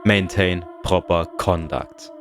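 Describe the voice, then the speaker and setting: A man's voice: low-pitched, fairly even intonation, slow, soft background music. A man voicing a curt, officious female police dispatcher who speaks in a clipped, bureaucratic way.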